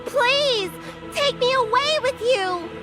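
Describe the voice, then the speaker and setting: Very high-pitched plaintive little dragon girl. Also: lively intonation, full character voice. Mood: tearful, pleading. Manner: childlike, halting, tearful. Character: small young dragon girl, plaintive, weary, pleading. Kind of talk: video game voice acting. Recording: music clearly behind the voice.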